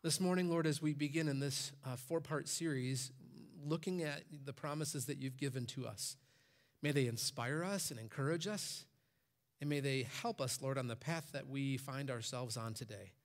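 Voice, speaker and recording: A man's voice: mid-range voice, normal speed. A pastor in his forties preaching on a church stage, his voice lifting when he quotes commands. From Michigan, USA. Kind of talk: sermon.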